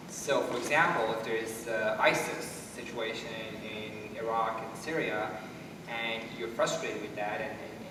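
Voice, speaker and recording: A middle-aged man explaining things as a doctor on a panel, with halting, repetitive phrasing. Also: medium pitch. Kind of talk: panel discussion, explanatory talk. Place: school theater stage at a panel table. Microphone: distant from the speaker. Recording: echoey hall, distant mic, background noise.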